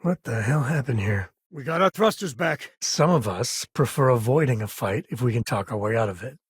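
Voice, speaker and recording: Wry American space ranger, very husky gravelly voice, folksy drawl, easygoing, middle-aged, video game dialogue, close dry recording. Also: male voice, mid-range voice, expressive, normal speed, no echo. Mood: matter-of-fact. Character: easygoing, wry male space explorer and ex-ranger, loyal father. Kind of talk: video game voice acting, naturalistic dialogue lines.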